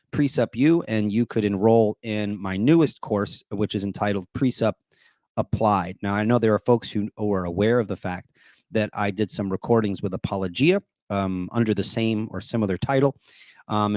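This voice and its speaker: A middle-aged man hosting a casual live stream, talking softly and deliberately quiet, rambling with plenty of fillers. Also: on the low side, no room sound, clean studio sound. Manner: soft-spoken, deliberately quiet to save his voice. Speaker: host of apologetics live stream.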